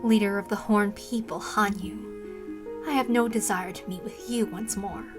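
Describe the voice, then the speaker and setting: A woman's voice: medium pitch, steady tone, a little slow, over music, close to an everyday voice. A woman voicing a haughty, hostile goddess in a visual novel, speaking in imperious, formal, scornful phrases. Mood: cold disdain. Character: haughty, hostile inhuman goddess in female human form.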